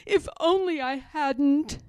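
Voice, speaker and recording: A woman's voice: high voice, slow pace, no room sound, pitched above the performer's own voice. A woman voicing a small male bear from a storybook, earnest and muddled, musing ponderously in a simple-minded way. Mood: rueful. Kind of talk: storybook character voice.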